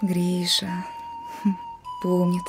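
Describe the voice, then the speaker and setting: A woman's voice: fairly low pitch, monotone, takes it slowly. Commanding woman partisan leader, music behind. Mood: moved, tender. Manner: commanding, urgent, broken phrases. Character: gruff, emotional woman commander of wartime partisan detachment. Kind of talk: radio play acting.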